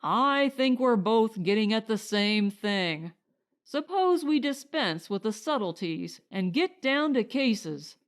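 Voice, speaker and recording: Principled male settler for audiobook female reader, pointed and firm, slightly high pitch, unhurried. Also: lively intonation. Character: principled, blunt husband and would-be settler. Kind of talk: audiobook character dialogue.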